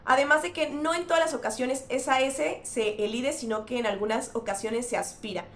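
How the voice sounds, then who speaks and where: Woman host teaching linguistics, clear and didactic, slightly high voice, echoey room. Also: fairly even intonation, fairly quick, a bit bright. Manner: clear, didactic, spells out examples. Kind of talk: scripted educational explainer.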